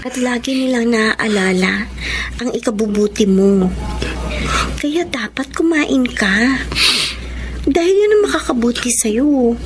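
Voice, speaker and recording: A woman's voice: fairly high pitch, takes it slowly, long pauses, a little hiss or hum. An actress playing a caring young woman, an earnest and consoling friend, in an emotional Filipino radio drama style. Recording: music under the voice.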